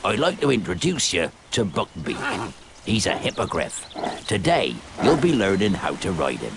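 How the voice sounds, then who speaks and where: An actor playing a big, gruff, hearty groundskeeper teacher in a video game cutscene. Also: male voice, low voice, wildly swooping pitch, moderate pace, background noise, full character voice. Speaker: teacher character.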